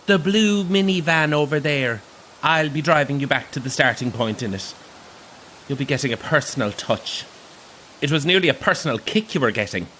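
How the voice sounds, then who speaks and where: Brash, animated Irish tour guide. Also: male voice, fairly high pitch, moderate pace, slight background noise, low-quality recording. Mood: exasperated. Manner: theatrical, teasing, colloquial. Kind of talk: audio drama acting.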